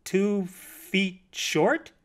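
A man's voice: on the high side, sing-song, takes it slowly, exaggerated voice. A man voicing a small male bat poet in a storybook, earnest, naive and hesitant, easily wounded. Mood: bewildered, timid.

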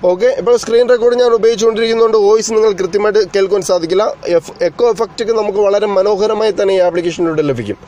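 A man's voice: high voice, fairly even intonation, racing, hardly any pauses, warm tone, a little hiss or hum. A man narrating an explanatory tech tutorial as its host, in a formal, wordy way that addresses viewers directly.

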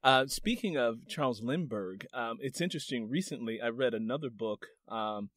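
A man's voice: medium pitch, animated, normal speed, fairly bright tone, a little hiss or hum. A male podcast host chatting casually with a guest, often answering with short acknowledgments. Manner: brief affirming interjections. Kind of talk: casual interview chat.

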